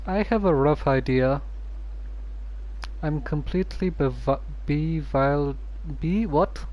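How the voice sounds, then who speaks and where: Slow, halting male game streamer. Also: on the high side, dull audio. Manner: halting, stumbles over words. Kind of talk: casual live commentary over a game.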